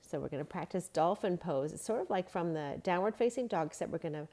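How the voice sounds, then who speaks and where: A woman reading a storybook aloud as a children's yoga teacher, giving simple, gentle guiding instructions. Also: fairly low pitch, animated, fast.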